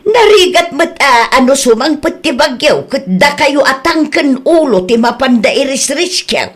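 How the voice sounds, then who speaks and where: A woman's voice: high voice, measured pace. A woman playing a worried middle-aged village wife and mother who nags and pleads with her stubborn husband. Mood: scolding. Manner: nagging, pleading, scolding. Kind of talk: audio drama acting. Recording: rain and ambience noise behind. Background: steady rain.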